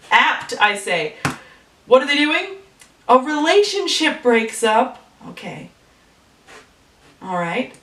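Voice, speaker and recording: A woman's voice: a little high, a bit bright. A woman casually making up and telling a story, chatty and thinking aloud. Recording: roomy echo from a distance, faint music.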